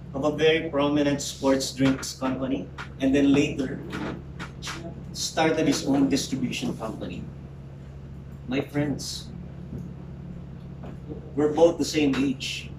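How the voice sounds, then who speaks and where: A man's voice: medium pitch, slow, long pauses. A man in his forties giving a prepared speech to a live audience, emphatic and rhetorical, talking straight to the crowd. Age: 40s. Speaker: public speaker. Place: meeting room with audience. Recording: roomy hall, mic at a distance, noisy.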